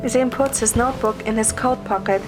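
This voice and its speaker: Female story narrator, fairly high voice, scripted first-person reading with clear music underneath. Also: a little fast. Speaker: story narrator, amateur voice performer. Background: clear background music.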